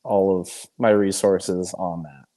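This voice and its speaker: A man's voice: sits low, flat delivery, takes it slowly, dark tone. A man from New England, an amateur angler, giving short, laid-back answers in a casual interview. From New England, near Massachusetts. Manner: laid-back, brief answers, chuckles often. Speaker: amateur tournament angler, guest.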